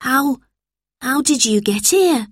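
A woman's voice: sits high, animated, slow pace, slightly rough, no echo, studio quality, voice pushed up, heavily acted. A woman voicing a polite, earnest older boy in a storybook reading. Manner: polite, formal.

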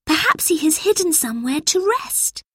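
A woman's voice: very high-pitched, lively intonation, moderate pace, a bit bright, dry recording, clean studio sound, pitched above the performer's own voice. A woman voicing a thoughtful, caring young schoolgirl in a children's story, earnest and childlike. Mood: earnest, concerned.

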